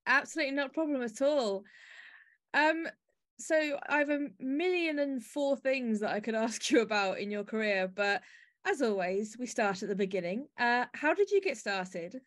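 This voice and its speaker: A woman's voice: fairly high pitch, lively intonation, moderate pace. A woman with an English accent, speaking as the host of an arts podcast in introductions and interview questions. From Devon, UK.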